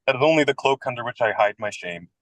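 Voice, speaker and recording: A man's voice: medium pitch, expressive, fairly quick, fairly bright tone, no echo, natural voice. A man playing a self-deprecating, whimsical American writer in his twenties in a radio comedy, with a wry, wordy, theatrical delivery. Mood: playful, courteous. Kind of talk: radio play comedy dialogue.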